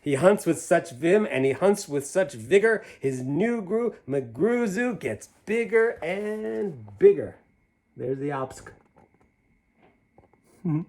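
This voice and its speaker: A man reads a rhyming children's book aloud with a lot of melodic swing in his fairly high voice. His delivery is playful and theatrical, and he slips into casual asides to whoever is listening. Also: sing-song, takes it slowly, darkish tone. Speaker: storybook reader. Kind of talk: animated read-aloud of a rhyming children's storybook with chatty asides.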